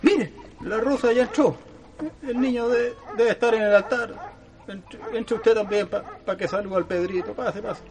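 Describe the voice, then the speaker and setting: High-voiced humble Chilean countryman. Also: a little slow. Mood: gently insistent. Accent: rural Chilean. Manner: colloquial, deferential, resigned. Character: humble Chilean country man, serene grieving father. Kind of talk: radio drama acting.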